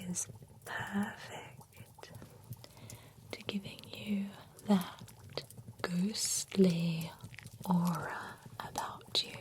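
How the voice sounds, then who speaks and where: A genteel, nosy vampire beautician, whispered by a woman, pampers a client in a soft, unhurried whisper. She is gossipy and giggly, leaning close to a sensitive microphone. Her voice sits at an ordinary middle pitch. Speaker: ASMR roleplay performer. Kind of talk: whispered ASMR roleplay. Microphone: close sensitive ASMR microphone.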